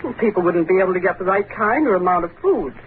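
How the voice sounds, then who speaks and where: An actress playing an earnest, mild newspaper secretary with a polite, tentative manner, in old radio drama style. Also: female voice, lowish voice, animated, fast, a crowd in the background, lightly acted. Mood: earnest, arguing. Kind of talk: 1930s-40s radio drama acting. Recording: muffled old radio recording, faint noise.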